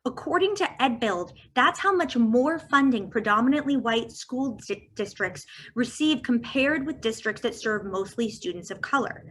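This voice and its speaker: A woman from California giving a prepared talk as guest speaker, walking through figures on slides in a measured way. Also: on the high side, animated, a bit bright, low-quality recording.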